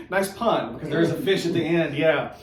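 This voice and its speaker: A man's voice: on the high side, animated, measured pace, crowd noise. A man hosting a casual church Bible discussion, informal and folksy, rephrasing as he goes. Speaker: host of a church read-along show. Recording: echoey room, distant mic, faint noise.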